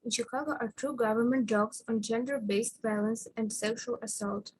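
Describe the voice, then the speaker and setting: A young woman reading a prepared presentation in halting phrases with some broken wording over a video call. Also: fairly high pitch, steady tone, slightly rough, no room sound, low-quality recording. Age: young adult. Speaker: youth ambassador presenter.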